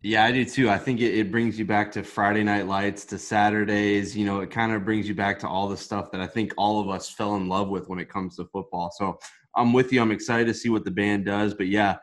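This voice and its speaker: A man's voice: lowish voice, level tone, fairly quick. A man hosting a casual sports talk show, upbeat and steering the conversation with questions. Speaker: podcast host.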